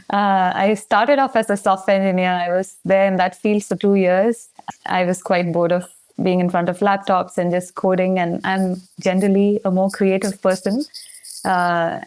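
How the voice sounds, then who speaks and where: A woman's voice: medium pitch, darkish tone, smooth. A woman in her thirties from Chennai, India, answering interview questions fluently and telling stories with light laughs. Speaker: guest.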